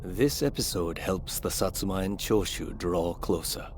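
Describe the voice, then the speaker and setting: A solemn chronicler of samurai history in a video game. His voice is low and his narration scripted, with clear ambient noise behind it. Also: unhurried.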